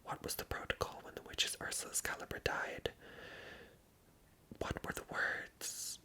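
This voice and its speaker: A person reading a story aloud as ASMR narration in a hushed, unvoiced whisper. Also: a little slow. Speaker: ASMR reader and channel host.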